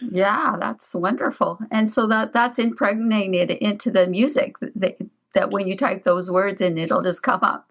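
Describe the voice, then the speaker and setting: A woman hosting an interview, asking curious, measured questions. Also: medium pitch. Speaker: interview host.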